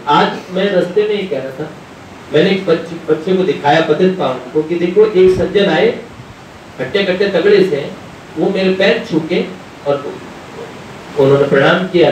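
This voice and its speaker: A heavily reverberant recording, picked up from a distance with some rumbling noise, of a man giving a devotional talk. He speaks as a spiritual teacher in an informal, conversational style. He wanders through anecdotes and voices bits of dialogue as he goes. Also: a little mellow. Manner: conversational, rambling storyteller, often quoting dialogue. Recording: very echoey room, distant mic, rumbling noise.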